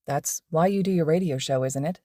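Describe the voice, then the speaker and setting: A woman voicing a quiet, serious teenage boy who speaks softly and reservedly. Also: deep voice, brisk, no echo, studio quality. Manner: soft, reserved, muttering.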